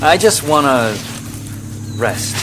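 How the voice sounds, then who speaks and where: A man's voice: mid-range voice, big pitch swings, slow, background noise. A man playing a skeptical, easily exasperated jungle expedition member in a radio drama, blunt and complaining. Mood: tired, grumbling. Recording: loud jungle and motor ambience.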